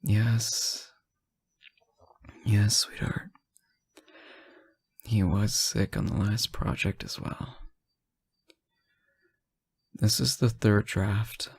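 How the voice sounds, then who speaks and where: A man playing a sleep-deprived, teasing boyfriend on an intimate late-night call, soft-spoken, hesitant and gently joking. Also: on the low side, little pitch movement, drawn-out words, creaky, pauses often, husky, dry recording, exaggerated voice. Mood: tired, apologetic. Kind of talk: intimate audio roleplay, one side of a phone call.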